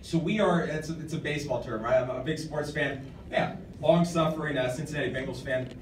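An American man speaks at a podium in an echoey function room, recorded from out in the audience with clear noise around him. His delivery is informal and halting, as he restarts phrases and repeats words. His voice sits a little high for a man. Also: distant mic. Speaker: award recipient and nonprofit director giving an acceptance speech. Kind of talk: informal acceptance speech. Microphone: podium microphone, captured by a camera in the audience.

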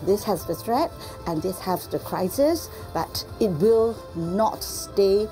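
A woman executive talks earnestly and in a businesslike way in an interview. Her voice sits at an ordinary middle pitch. A clear music bed plays under her words. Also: lively intonation, takes it slowly, mellow. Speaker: chief sustainability officer.

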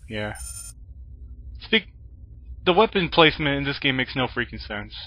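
A man's voice: medium pitch, sing-song, moderate pace, bright, forceful tone. A man doing casual, joking let's play commentary in a sarcastic, wisecracking way. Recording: noisy, with game audio behind. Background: video game ambience and gunfire.

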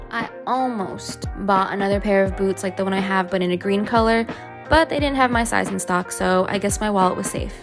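A young woman in her twenties narrating her travel vlog in a chatty, bubbly way, laughing now and then. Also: medium pitch. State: congested. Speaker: vlogger.

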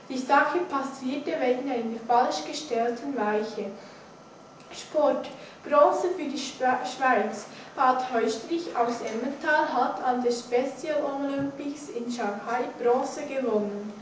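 A teenage girl reads a news bulletin aloud carefully, sometimes stumbling over words. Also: high-pitched. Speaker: student news presenter. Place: indoor school classroom, seated at a desk. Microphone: distant camera microphone. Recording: echoey classroom, distant mic, faint noise.

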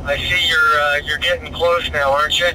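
Man on ham radio, clear road noise. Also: a little high, level tone, normal speed, sharp tone, rough recording. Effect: heard over a digital radio link. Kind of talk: casual radio contact chat. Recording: radio audio played amid clear car noise. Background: car and road noise.

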